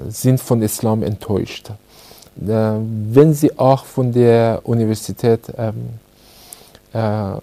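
A middle-aged man with an Iranian accent gives a personal testimony in halting German, full of pauses and fillers. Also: medium pitch, mellow. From Iran. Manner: halting, many fillers and restarts. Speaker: interviewee.

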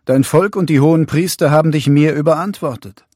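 A man putting on an uneasy Roman governor who presses with raised, questioning words. The voice sits a little high, recorded dry and close. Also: a little fast, dark tone, breathy edge, no room sound. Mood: pressing.

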